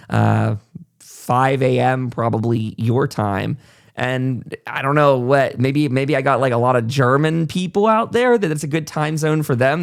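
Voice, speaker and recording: A man's voice: medium pitch, creaky. A man hosting a podcast in a casual, conversational way, informal and encouraging as he rambles along. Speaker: podcast host.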